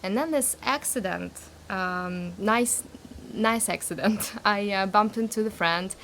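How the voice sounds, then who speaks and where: A woman's voice: mid-range voice, a little slow, fairly bright tone, a little hiss or hum. A woman from Russia, a narrative therapist recalling her path, speaking English hesitantly and searching for words. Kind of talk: personal reflection.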